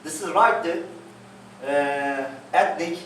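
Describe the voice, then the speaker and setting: A Kurdish man, a native Kurdish speaker, speaking from the audience in halting, broken English full of pauses. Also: a little high, a bit bright. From Kurdistan, Turkey. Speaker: audience member. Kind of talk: speaking from the floor. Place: cafe event space. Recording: echoey room, speaker far from mic, faint noise.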